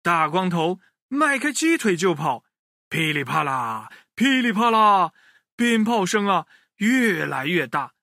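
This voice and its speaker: A man telling a children's story in an expressive way, speaking directly to his young listeners. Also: high voice, takes it slowly, dry recording, clean studio sound.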